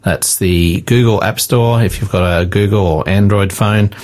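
A man's voice: lowish voice, measured pace, darkish tone. Upbeat male radio host. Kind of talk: faith radio talk show hosting.

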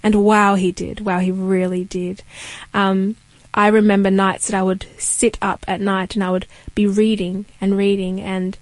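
A woman's voice: mid-range voice, flat delivery, unhurried, no room sound. Young woman from kenya telling her faith story, earnest and reflective, dry recording. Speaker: guest. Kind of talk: faith testimony interview.

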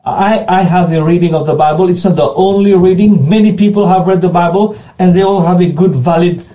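A man's voice: sits high, a little fast, warm tone, muffled recording. A man whose first language is Creole, answering questions in earnest and often repeating and restarting words as he goes. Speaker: pastor being interviewed. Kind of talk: unscripted interview answers.

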